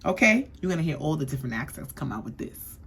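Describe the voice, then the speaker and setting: A woman's voice: low voice, sing-song, fast, creaky, a bit bright, breathy edge. A young woman from the East Coast giving a chatty, sassy perfume review, often checking in with "okay?". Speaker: beauty vlogger.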